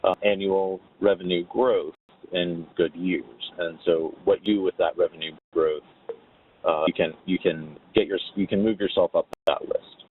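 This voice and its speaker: Very deep-voiced man on a phone line. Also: steady tone, normal speed, a little hiss or hum. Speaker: webinar panelist on tax policy. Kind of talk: explanatory webinar talk. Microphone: phone or web conference call. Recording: narrow, tinny phone-line sound.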